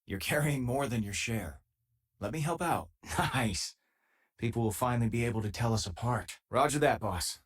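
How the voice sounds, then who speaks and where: A man voicing an easygoing, wry spacefaring crewmate in a video game, with a husky voice and a casual, conversational delivery. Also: mid-range voice, moderate pace, natural voice. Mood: casual, friendly. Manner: casual, conversational, dryly joking. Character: easygoing, wry male spacefaring crewmate, loyal and self-deprecating. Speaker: game companion character. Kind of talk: video game voice lines, naturalistic acting.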